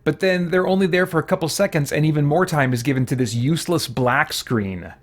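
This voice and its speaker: A man giving casual let's play commentary, thinking aloud as he deliberates over a video game. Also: on the high side. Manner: musing aloud, hesitant deliberation.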